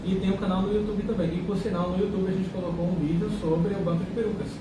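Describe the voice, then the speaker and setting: Guy doctor, kinda high voice, chill and chatty, echoey room, noisy. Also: flat delivery, quick pace, far from the mic. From Salvador, Bahia, Brazil. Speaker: radiation oncologist. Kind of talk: interview talk.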